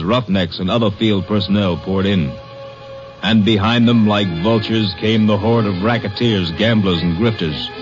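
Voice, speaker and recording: A man delivering dramatic scripted narration in the style of a 1950s radio drama. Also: fairly low pitch. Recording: dull old radio recording with music. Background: music.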